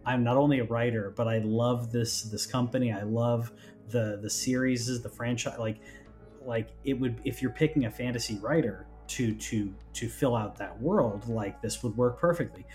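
A man's voice: medium pitch, moderate pace, a little mellow, faint music behind. A man talking casually as a podcast host, rambling and often restarting his phrases. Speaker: podcast host on a gaming news show. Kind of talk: casual conversational chat.

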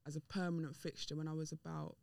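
A woman's voice: deep voice, flat delivery, quick pace, gravelly, darkish tone, no room sound. A woman speaking as a podcast guest in a casual discussion, candid, emphatic and opinionated. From Dominican and Nigerian heritage.

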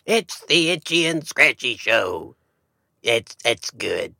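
A man voicing a touchy, silly possum who co-hosts a comedy podcast, with a gravelly muppet-like voice and folksy, indignant manner. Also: medium pitch, big pitch swings, slow, heavily acted. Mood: silly, cheerful. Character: touchy, silly male possum podcast sidekick, a wild animal. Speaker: podcast co-host. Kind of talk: comic banter.

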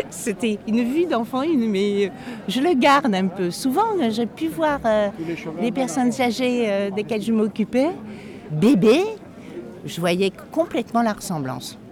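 Reflective woman with a higher voice. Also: lively intonation, slow, crowd noise. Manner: reflective, anecdotal. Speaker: passerby interviewed. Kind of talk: vox pop interview.

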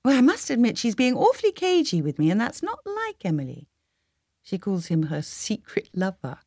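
Eager young granddaughter, woman reading her voice, storybook, animated, exclamatory, raised voice, close dry sound. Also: on the high side, moderate pace, no room sound, pitched above the performer's own voice, full character voice. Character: Paula, tired, lively young granddaughter.

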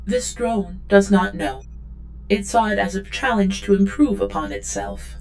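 An actress playing a submissive, eager-to-please android drone, speaking formally and deferentially in an audio drama. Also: female voice, mid-range voice, sing-song, measured pace, vocal fry, a little mellow, a little hiss or hum, low-quality recording. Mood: earnest, literal. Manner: formal, deferential, literal, third-person self-reference.

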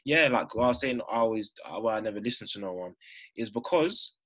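A man's voice: lowish voice, expressive, fairly quick, a bit bright. A young man, talking casually as an interview guest and dotting his phrases with "yeah". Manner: informal, punctuates phrases with "yeah" and "you know". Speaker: interview guest, business owner.

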